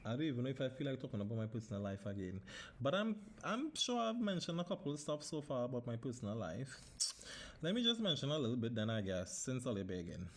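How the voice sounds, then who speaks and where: Animated Trinidadian man in his thirties. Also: mid-range voice, fast talker. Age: 30s. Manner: animated, swears freely, switches into Caribbean dialect. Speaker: podcast host. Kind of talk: casual solo podcast monologue.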